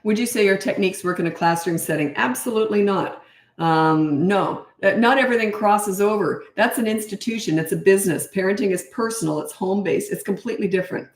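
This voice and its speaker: A middle-aged woman coaching parents on a casual livestream, giving blunt, practical advice with chatty asides while her voice grows slightly hoarse. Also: mid-range voice, a little fast, roomy sound.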